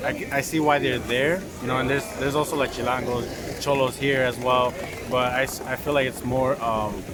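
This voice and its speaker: A man's voice: medium pitch, steady tone, normal speed. Young man from Mexico, rambling and casual, recorded outdoors with traffic noise. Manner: rambling, many "like" fillers. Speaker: interviewee. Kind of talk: casual street interview. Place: outdoors on a city street. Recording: street noise behind.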